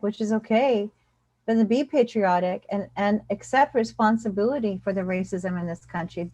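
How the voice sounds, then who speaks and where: A woman's voice: a little high, darkish tone, dry recording. A woman professor, a guest on an interview over a video call, explaining her views earnestly and often saying "you know". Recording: dry, dull video-call audio.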